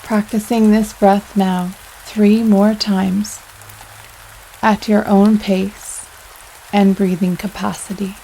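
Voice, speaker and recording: A woman leading a guided yoga nidra meditation in a soothing, unhurried way, pausing between phrases. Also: mid-range voice, a little hiss or hum, rough recording. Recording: steady rain sounds over voice. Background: rain sounds.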